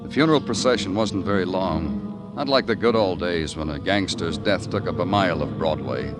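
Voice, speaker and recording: An American actor playing a world-weary, sardonic police detective in a radio noir, terse and brooding in dialogue and narration. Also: male voice, low voice, moderate pace, close to an everyday voice. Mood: brooding, wry. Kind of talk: noir scripted narration and 1950s radio acting. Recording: old radio recording with music behind.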